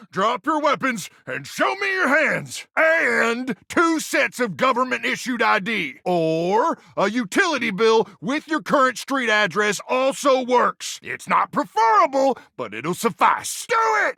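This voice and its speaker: A man voicing a frazzled, paranoid soldier microwave in a video game, gravelly and intense, full of awkward military bluster. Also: extremely high pitch, big pitch swings, slow, bright, forceful tone, no echo, studio quality, exaggerated voice. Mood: frantic, threatening. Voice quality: gravelly, raspy. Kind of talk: animated video game voice acting.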